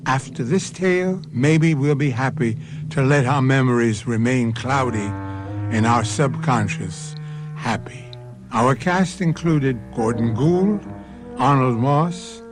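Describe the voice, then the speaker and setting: An older man hosting a radio mystery drama, delivering a slow, deliberate, ominous scripted closing. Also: medium pitch. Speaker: host and producer. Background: music.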